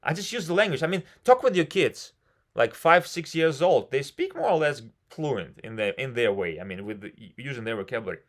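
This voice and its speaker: A man's voice: medium pitch, lively intonation, fairly quick. A man from Russia speaking English with a Russian accent, as a non-native speaker chatting casually on a video call, frank and rambling with many fillers. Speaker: civil engineer. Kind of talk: casual conversation practice.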